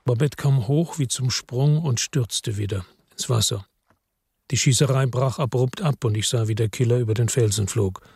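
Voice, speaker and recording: An actor playing a weary hard-boiled man who narrates his own crime story in a radio drama, terse, brooding and husky. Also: mid-range voice, normal speed, darkish tone, no room sound. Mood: grieving, subdued.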